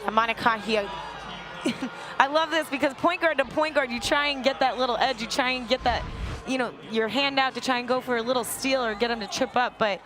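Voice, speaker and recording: A woman's voice: on the high side, fairly quick. A woman working as color commentator, giving live analysis of a basketball game. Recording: loud crowd and music behind. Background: basketball crowd, music and bouncing.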